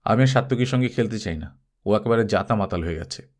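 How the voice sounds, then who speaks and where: A man's voice: lowish voice, fast talker, a little mellow, lightly acted. A man voicing a reserved, curt man in a story, speaking tersely and bluntly. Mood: harsh. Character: reserved, curt man among club friends.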